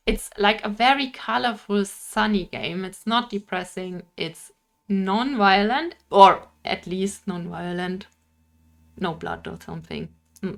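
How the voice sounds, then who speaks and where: A woman's voice: medium pitch, creaky, fairly bright tone. A young woman with a Bavarian German accent, an indie game developer talking casually to camera, laughing often and searching for words.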